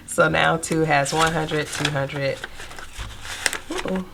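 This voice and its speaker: A young woman with a stuffy nose from a cold, chatting casually to viewers while counting out cash in a steady murmur. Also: low-pitched, extremely slow, creaky, noisy background. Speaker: budgeting vlogger.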